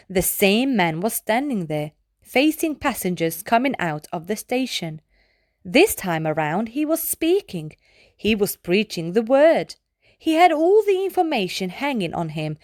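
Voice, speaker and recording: Woman reading aloud, lively intonation, dry sound. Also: medium pitch, no echo. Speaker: reader of children's poetry. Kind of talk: scripted read-aloud poetry narration.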